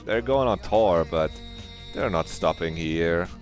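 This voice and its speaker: A man's voice: low-pitched, slow pace, soft background music. A man voicing a confident, teasing teenage girl in a visual novel, his voice raised a little. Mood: excited.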